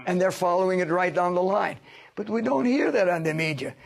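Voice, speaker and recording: A man's voice: sits high, fairly quick, creaky. An older man answering interview questions as a sociologist, emphatic and lecturing, asking himself rhetorical questions.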